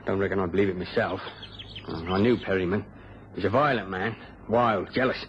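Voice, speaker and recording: Low-voiced, halting countryman. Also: a bit bright. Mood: uneasy, confiding. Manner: halting, guarded, repeats words. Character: plain-spoken countryman, head marker on a shooting estate. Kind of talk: mid-century British radio drama acting. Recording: dull, faintly noisy old radio recording.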